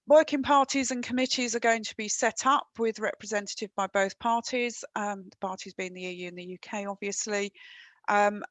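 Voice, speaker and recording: A woman's voice: mid-range voice, a bit bright, no room sound. A woman presenting on trade and customs rules in a webinar over a headset. She talks conversationally, with frequent ums and dry, wry asides.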